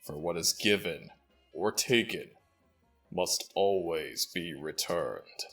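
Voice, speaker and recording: A man voicing an ancient jackal god in an audio roleplay, regal and fondly exasperated, with formal, measured speech and a deep, gravelly voice. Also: a bit bright, faint music behind, full character voice. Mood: solemn.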